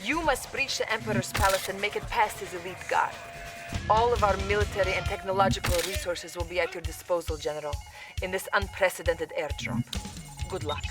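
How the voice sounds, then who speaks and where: An actress playing a poised, earnest Soviet intelligence officer with a Russian accent, giving dramatic military briefings in a video game. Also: female voice, medium pitch, brisk, lightly acted. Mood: resolute. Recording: loud music behind. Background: loud music.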